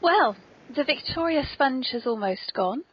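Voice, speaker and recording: Husky, high-voiced woman hosting. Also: lively intonation, a little slow. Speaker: podcast host. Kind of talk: polite tea-time conversation. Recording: dull lo-fi podcast recording.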